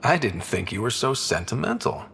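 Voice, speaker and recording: A man's voice: medium pitch, big pitch swings, moderate pace, creaky, fairly bright tone, slight background noise. A man playing an earnest young space explorer in a video game, speaking in a plain, direct, easygoing way. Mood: friendly, casual.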